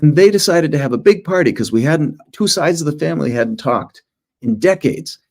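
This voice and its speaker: A man's voice: fairly high pitch, animated, brisk, darkish tone. Middle-aged man giving an informal picture talk. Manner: conversational storytelling, short phrases with asides. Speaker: author and illustrator giving a school presentation. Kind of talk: informal presentation to schoolchildren, narrating pictures.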